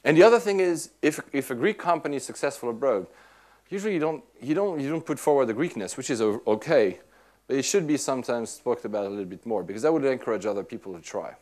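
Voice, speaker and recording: A Swiss-born man answering audience questions in casual, animated talk, often restarting his sentences. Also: mid-range voice, fast talker. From Switzerland. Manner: animated, many restarts and repeats. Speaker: tech speaker answering questions. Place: lecture room at an institute.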